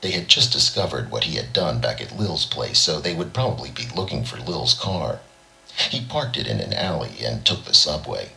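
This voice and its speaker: A man's voice: a bass voice, very animated, normal speed, creaky, pushed and bright, low-quality recording. A man reading a scripted short story as an audiobook narrator. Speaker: audiobook reader.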